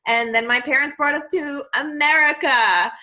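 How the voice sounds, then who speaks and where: A woman from Peru, a podcast guest in casual interview chat, talking haltingly with many ums and restarts. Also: high voice, pushed and bright, muffled recording.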